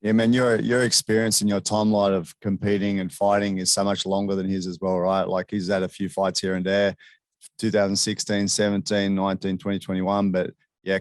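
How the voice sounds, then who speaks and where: A man's voice: low-pitched, dry recording. A man hosting a casual sports interview in a chummy, informal way. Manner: chummy, informal, often addressing guest as "man". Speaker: interviewer, show host.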